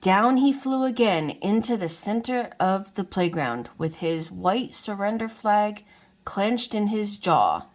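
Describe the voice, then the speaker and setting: A woman reading a children's storybook aloud in an unhurried way, as if to young listeners. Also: mid-range voice, lively intonation. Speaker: children's book reader. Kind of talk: read-aloud storybook narration.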